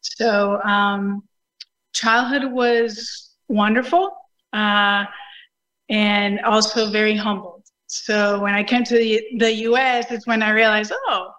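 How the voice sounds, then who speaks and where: A woman's voice: medium pitch, level tone, takes it slowly, fairly bright tone, muffled recording. A woman with a Puerto Rican accent, telling her personal story as a guest in a remote interview. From Puerto Rico. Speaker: show guest, Air Force veteran. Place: video call.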